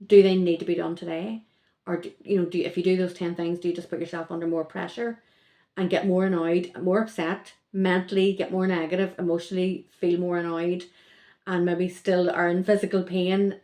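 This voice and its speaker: A woman's voice: fairly low pitch, brisk, roomy sound. A woman speaking as a podcast guest in casual conversation, rambling and correcting herself as she goes. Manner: rambling, self-correcting, lively.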